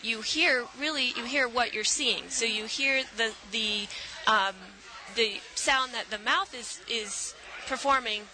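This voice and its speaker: Bright-voiced woman in her thirties. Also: a little high, takes it slowly, few pauses, a little hiss or hum, low-quality recording. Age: 30s. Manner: thoughtful, many ums, laughs lightly. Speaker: artist and college professor. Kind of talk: radio interview guest.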